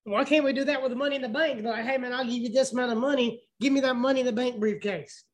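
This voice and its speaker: High-voiced man chatting casually. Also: fairly even intonation, fast talker. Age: late 30s. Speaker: podcast co-host. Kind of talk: casual wrestling watch-along chat.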